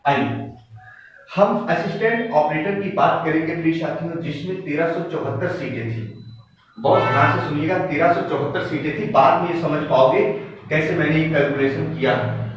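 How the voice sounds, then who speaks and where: A man's voice: fairly high pitch, normal speed, soft background music. A man lecturing like a coaching teacher, explaining figures emphatically and repeating key points to students. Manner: emphatic, repetitive, directly addressing students. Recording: very echoey room, distant muffled mic, noisy.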